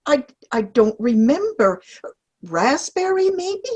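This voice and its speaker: Prim, forgetful elderly homebody, played by a woman: high swooping pitch, halting, giggly, stage comedy reading. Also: sing-song, darkish tone, breathy edge, heavily acted. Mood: mild, hesitant. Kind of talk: virtual play reading, comedy. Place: video call.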